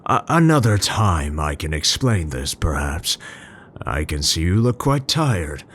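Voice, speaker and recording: A man performs as a gentle, brooding male scientist in an intimate ASMR roleplay. His speech is very slow and formal, full of hesitant pauses, and it rises and falls expressively. A faint low rumble sits behind the voice. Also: very animated, exaggerated voice. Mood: soft, patient. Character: tender, scholarly Dutch male scientist, brooding but gentle.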